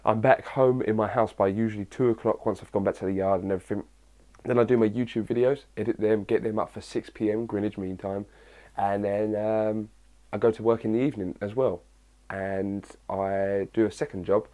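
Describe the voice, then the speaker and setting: Husky-voiced guy chatting. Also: on the low side, fairly even intonation, brisk, mellow. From England. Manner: chatty and rambling, with many fillers. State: husky, early-morning voice. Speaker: fitness vlogger answering viewer questions. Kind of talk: casual chat to camera.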